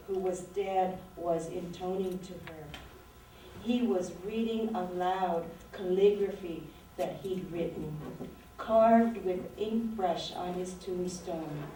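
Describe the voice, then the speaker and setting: A woman in her sixties, an author reading her poetry aloud and talking about it in a slow, measured, reflective way. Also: on the low side, dark tone, slight background noise. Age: 60s. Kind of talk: poetry reading with talk between poems. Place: lecture room at a literary event. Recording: very echoey room, voice distant from mic.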